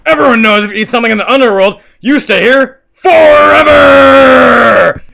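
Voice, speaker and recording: A man's voice: extremely high pitch, gravelly, sharp tone, full character voice, low-quality recording. A man doing a sly, gloating underworld lord. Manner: theatrical, gloating. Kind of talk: amateur cartoon puppet show acting.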